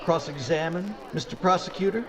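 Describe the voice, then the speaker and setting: A man's voice: sits high, fairly quick, big-room sound, a crowd in the background, natural voice. A man playing an earnest, courteous small-town defense lawyer with formal, theatrical delivery in an old-time radio drama.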